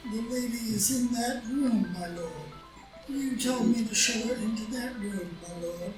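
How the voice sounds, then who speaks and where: A woman's voice: on the high side, measured pace, a little mellow, natural voice. A woman playing a dutiful butler, formal and deferential, in a staged play reading. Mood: formal, obedient. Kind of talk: stage play read aloud as audio drama. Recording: roomy live reading, distant mic, music.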